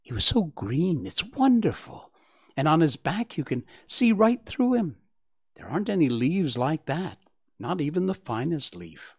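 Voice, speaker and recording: A man acts out a young male fawn from a storybook, curious and eager. The voice is lifted high and swings widely. He is polite and earnest, full of wide-eyed excitement. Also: measured pace, gravelly, breathy edge, dry recording, clean studio sound, exaggerated voice. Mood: excited.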